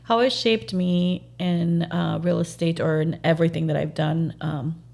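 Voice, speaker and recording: Realtor lady, spanish first language, low gravelly voice, lots of ums, earnest. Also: fairly even intonation, a little mellow. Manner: earnest, halting with frequent fillers. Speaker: realtor, interview guest. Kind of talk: casual interview conversation.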